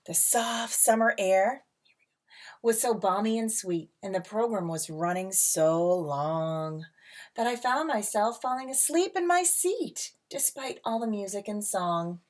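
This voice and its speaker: A woman reading a picture book aloud to children as a teacher, with dramatic emphasis, drawn-out words and casual asides. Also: mid-range voice, lively intonation. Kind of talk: expressive storybook read-aloud with casual asides. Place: at home.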